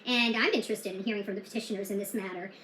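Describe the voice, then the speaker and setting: A woman's voice: mid-range voice, steady tone, racing, fairly bright tone, roomy sound, a little hiss or hum, poor audio. A woman who covers true crime, talking casually to her viewers, defiant and blunt, with many fillers and restarts.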